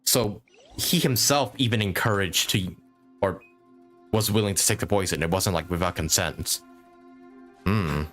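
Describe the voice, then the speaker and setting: A man's voice: low-pitched, measured pace, long pauses, a bit bright, soft background music. A man live-streaming a video game with casual commentary, thinking aloud and chatting with his viewers.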